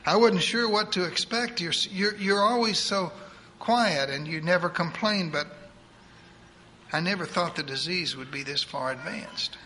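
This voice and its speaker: Man doing a nervous young doctor, halting and earnest, kinda high bright voice. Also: a little hiss or hum, poor audio.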